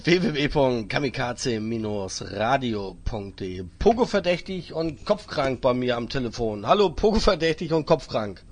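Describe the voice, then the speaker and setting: A man's voice: mid-range voice, big pitch swings, normal speed, hardly any pauses. A man in his forties hosting an internet radio show, chatting casually with a caller and jumping in with questions. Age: 40s. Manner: chatty, jumps in with questions, reads from the chat. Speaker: radio host.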